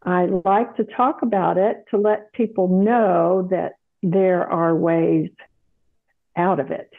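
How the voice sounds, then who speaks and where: An older woman speaks slowly and deliberately, her voice carrying a gravelly edge. She tells her story in a measured, conversational way, breaking into light laughs. The sound comes through a thin, phone-like line. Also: fairly low pitch, fairly even intonation. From South Carolina, USA. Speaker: guest, retired doctor. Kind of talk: casual interview chat.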